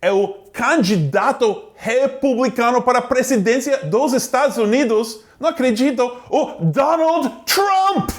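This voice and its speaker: A man's voice: very high voice, lively intonation, unhurried, few pauses. A man with an American accent, a native English speaker, hosting a comedy talk show with mock-enthusiastic surprise.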